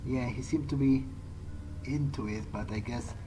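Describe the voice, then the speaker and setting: A man's voice: medium pitch, moderate pace, background noise. A man improvising in character as he plays a video game, talking hesitantly to himself and swearing freely. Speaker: let's player. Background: game soundtrack music.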